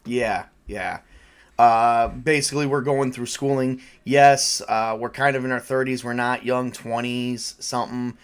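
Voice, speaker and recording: A man with a mid-pitched voice runs a tabletop roleplaying game in a casual, improvised way. He rambles through scene descriptions, often repeating and rephrasing himself, and slips into in-character banter. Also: steady tone, measured pace, clean tone. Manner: rambling, repeats and rephrases himself, makes spoken sound effects. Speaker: game master and player.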